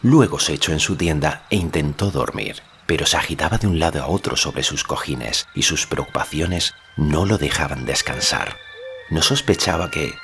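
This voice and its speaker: Very deep-voiced male audiobook narrator. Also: noisy background. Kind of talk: scripted literary narration of a fantasy novel. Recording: music behind the voice. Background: background music.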